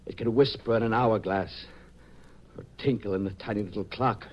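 An actor playing a shaken, brooding man obsessed with time, in a halting, theatrical 1950s radio style. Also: fairly low pitch, close to an everyday voice. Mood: brooding, intense. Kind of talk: 1950s radio drama acting. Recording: dull vintage 1950s radio recording.

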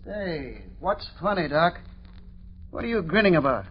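A man's voice: on the high side, sing-song, a little slow. An actor playing a nervous, superstitious crook's henchman in an old-time radio drama, speaking in a plain, wary, informal way. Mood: wary compliance. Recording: dull, noisy old radio recording.

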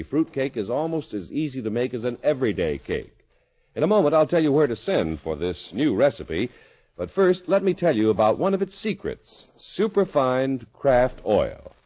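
Casting: male vintage radio pitchman, husky mid-range voice, hugely animated, polished and emphatic ad read. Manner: polished, emphatic sales delivery. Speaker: radio announcer. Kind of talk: 1950s radio advertisement, scripted. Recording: muffled old radio recording.

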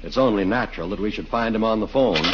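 A man's voice: fairly low pitch, fast, background noise. A man announcing in a 1940s radio style, emphatic and salesmanlike, with scripted narration. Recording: muffled 1940s radio recording.

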